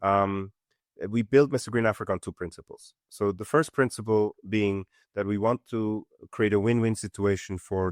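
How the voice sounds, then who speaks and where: A middle-aged man from Switzerland, a company co-founder, answering interview questions in an earnest, halting way with many fillers. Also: on the low side, no room sound, clean studio sound. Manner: earnest, many fillers and restarts, steady explanation. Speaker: company co-founder and CEO, guest.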